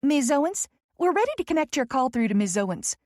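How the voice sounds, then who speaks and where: A woman performs a courteous female secretary from an audiobook novel. She has a Southern US accent and a sing-song lilt, raised slightly in pitch, in a dry recording. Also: sing-song, a little fast, darkish tone, no room sound. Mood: polite.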